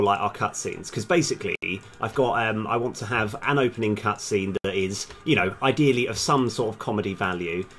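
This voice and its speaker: A man's voice: lowish voice, fairly bright tone. A man thinking aloud in a casual, hesitant, self-deprecating way while live streaming as a game developer.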